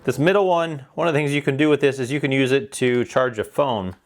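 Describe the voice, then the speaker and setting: A man's voice: medium pitch, a little fast. A man informally walking through a product by hand, explaining it in a plain-spoken, practical way. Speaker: product reviewer. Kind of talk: casual hands-on product demonstration.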